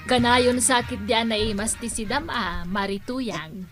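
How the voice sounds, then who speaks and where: Radio drama casting: actress for chatty village woman, very high pitch, coaxing and nosy, Ilocano Filipino accent. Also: unhurried, loud music behind the voice, close to an everyday voice. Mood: gossipy.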